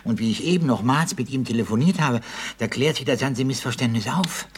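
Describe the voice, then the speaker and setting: An actor playing a pompous, fussy Excellency in a comedic radio play, formal, hemming and apologetic. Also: male voice, medium pitch, brisk, slight background noise, close to an everyday voice.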